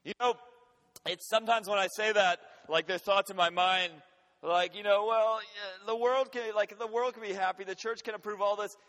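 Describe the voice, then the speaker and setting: A man preaching a sermon with emphatic delivery, swinging between urgent loud passages and quiet, intimate ones. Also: high voice, steady tone, normal speed. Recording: long hall reverb.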